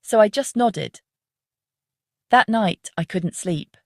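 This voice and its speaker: A woman reading a scripted first-person story as the narrator of a dark psychological tale. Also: medium pitch, expressive, takes it slowly, no room sound, clean studio sound.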